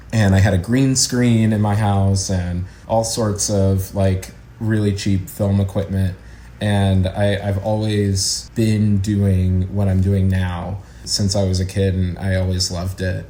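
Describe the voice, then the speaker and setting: A man's voice: fairly low pitch, warm tone. A young American man chatting casually as an interview guest, with a wry, self-deprecating manner. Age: young adult. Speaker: interview guest, actor and acting educator. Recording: roomy echo, faint background noise.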